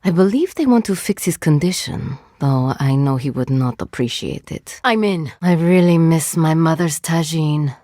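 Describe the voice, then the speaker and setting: A woman playing a composed female cyborg agent with a drone hive in a video game, speaking formally and precisely in measured phrases. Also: deep voice, expressive, dark tone, dry recording, clean studio sound. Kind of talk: scripted video game voice lines.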